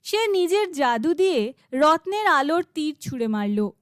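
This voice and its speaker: Casting: female storybook narrator, high pitch, slow scripted fairytale delivery. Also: very animated, no room sound, studio quality.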